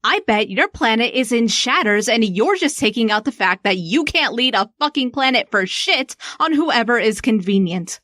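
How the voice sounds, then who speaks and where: A woman's voice: a little high, fairly bright tone, dry recording, studio quality. A woman playing a hot-headed, foul-mouthed human queen in an audio drama, blunt and defiant. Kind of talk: audio drama acting and first-person narration.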